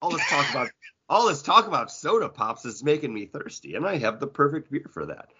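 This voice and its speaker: A man's voice: on the high side, big pitch swings, brisk, highs cut off, noisy background. A man chatting casually and bantering as a podcast co-host. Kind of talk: casual chat.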